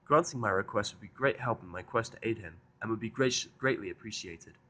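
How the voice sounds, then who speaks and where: A man's voice: lowish voice, brisk, sharp tone. A man voicing a studious, easily flustered young female unicorn pony who speaks in formal, pedantic phrases for a fan fiction audio drama. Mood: formal, dictating.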